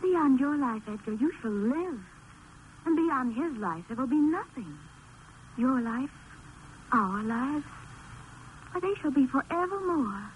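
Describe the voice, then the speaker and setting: High-voiced, devoted, dreamy young wife. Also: female voice, animated, a little slow, pauses often. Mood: lyrical, fervent. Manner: tender, lyrical, theatrical. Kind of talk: 1950s radio drama acting. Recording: noisy old 1950s radio recording.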